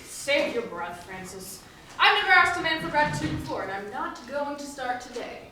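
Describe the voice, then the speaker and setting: A woman's voice: high voice, very animated, normal speed, bright, forceful tone, exaggerated voice. A woman playing a blunt, protective young woman in a stage musical comedy, assertive and wry. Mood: wry, firm. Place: live staged reading before audience. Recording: echoey stage, distant mic, faint noise.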